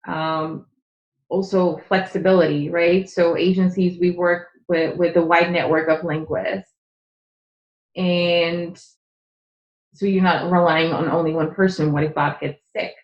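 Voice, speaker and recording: A woman's voice: fairly low pitch, flat delivery, measured pace, reverberant, highs cut off. A woman who grew up speaking Czech, a guest on a podcast, explaining things earnestly with many fillers. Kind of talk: conversational interview.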